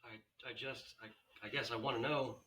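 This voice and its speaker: A man playing a nervous, insecure young man in a secret romance, speaking haltingly in a table read. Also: medium pitch, fairly even intonation, bright, forceful tone, breathy edge. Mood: unsure, needy. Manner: hesitant, halting.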